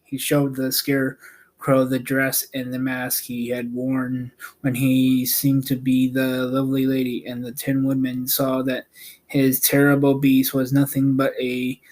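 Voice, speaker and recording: A man's voice: medium pitch, completely flat delivery, unhurried, darkish tone, poor audio. A man reading a children's storybook aloud as narrator. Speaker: audiobook reader and show host.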